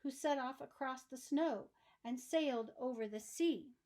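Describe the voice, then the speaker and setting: A woman narrates a children's story in a high voice. Her pace is slow and deliberate. She reads expressively, with emphasis suited to young listeners. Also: warm tone.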